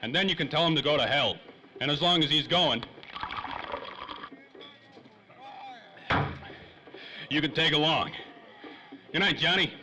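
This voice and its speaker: An actor playing a hot-tempered, boozing ex-private detective, with brash, clipped slang in 1930s radio noir style. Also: male voice, fairly high pitch, fairly quick, pushed and bright, a little hiss or hum, rough recording. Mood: gruff, scornful.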